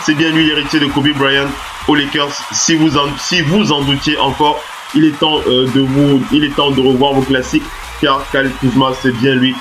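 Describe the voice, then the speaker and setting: A man's voice: medium pitch, flat delivery, moderate pace, background noise. A man with a French accent hosting a basketball radio talk show from a studio while he has the flu, hesitant with many fillers and restarts, then bursts into hyped announcing. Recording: web radio broadcast.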